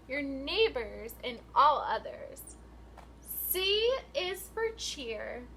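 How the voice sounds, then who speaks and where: Lively high-voiced woman reading to young children. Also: slight background noise, low-quality recording. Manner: instructional, asks questions and prompts children to repeat. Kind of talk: picture book read-aloud for young children.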